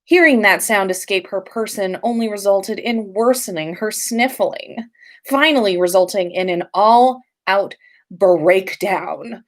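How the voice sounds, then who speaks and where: Unhurried woman with lively, swooping intonation. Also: mid-range voice. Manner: informal, playful, sweary, self-interrupting. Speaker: podcast host reading listener fan fiction. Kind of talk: casual livestream chat and story reading.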